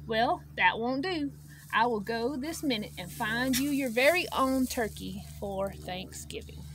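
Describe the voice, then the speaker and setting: A woman's voice: fairly high pitch, lively intonation, measured pace. A woman voicing a polite, determined male moose in a picture book, earnest and coaxing, recorded outdoors. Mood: earnest resolve. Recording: outdoor noise with clucking poultry.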